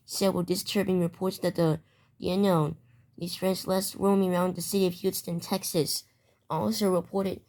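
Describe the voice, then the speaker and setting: A woman's voice: fairly low pitch, a little mellow. A woman delivering an amateur mock emergency broadcast as a news anchor, stumbling and repeating phrases as she goes.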